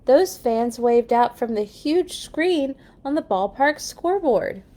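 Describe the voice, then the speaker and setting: Slow-paced woman reading a children's storybook. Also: high-pitched. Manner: expressive, playful asides to young listeners. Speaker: children's read-along storyteller. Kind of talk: storybook read-aloud with animated asides.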